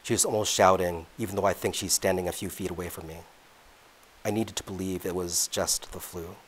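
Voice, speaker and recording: A man reads as an old woman in a spoken story, a fretful mother. The delivery is quick and plain, with a deep voice kept close to his own. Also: steady tone, slight background noise, natural voice. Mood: anxious.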